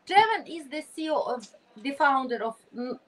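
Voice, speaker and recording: A high-pitched woman with Ukrainian as her first language hosts a casual podcast conversation. She gushes over her guest, laughs often, and restarts her sentences in lively non-native English. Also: fairly quick. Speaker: podcast host.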